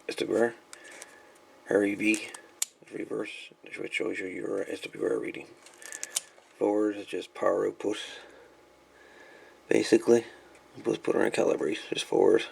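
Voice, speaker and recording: Male hobbyist repairer, very gravelly, on the low side; unscripted, folksy how-to delivery over faint background noise. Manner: informal, folksy, calls the radio "her". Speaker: radio repair hobbyist presenting a how-to video. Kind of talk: casual hands-on demonstration talk.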